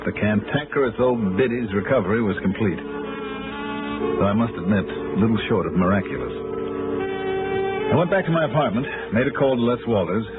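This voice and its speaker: An actor playing a tough, wry insurance investigator in a 1960s radio drama, with clipped, brusque delivery. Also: male voice, lowish voice, expressive, moderate pace, long pauses, phone-quality sound, natural voice. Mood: wry narrating. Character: tough, hard-boiled male insurance investigator narrating his case. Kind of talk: 1960s radio detective narration and acting. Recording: band-limited early 1960s radio broadcast with music.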